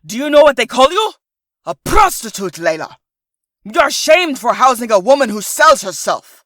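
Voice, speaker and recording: A woman acts out a stern, scornful father in an audiobook story. The delivery is sharp and forceful, with a very dry sound. Every line comes as an accusation. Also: fairly high pitch, very animated, normal speed, dry recording, voice pushed up. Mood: angry, accusing. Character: angry, stern, scornful father of grown daughters. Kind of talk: audiobook character dialogue.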